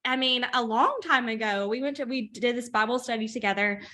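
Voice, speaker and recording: A woman's voice: fairly high pitch, fairly quick, bright, forceful tone. A woman in her thirties from Mississippi with a Southern accent, casually sharing her personal story as a co-host. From Mississippi, United States. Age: 30s. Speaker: co-host of a women's Bible reading group. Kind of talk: casual personal testimony in conversation.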